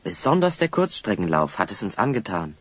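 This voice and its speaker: An actor playing a confident, courteous adventurer hero in a German audio drama, polite and assured. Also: male voice, lowish voice, big pitch swings, a little fast, a bit bright, slightly rough, dry recording, full character voice.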